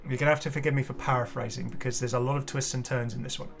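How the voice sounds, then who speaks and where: A man's voice: medium pitch, steady tone, very fast, creaky. A man giving casual, off-the-cuff video commentary as a gaming host, rambling and hesitant with apologetic asides.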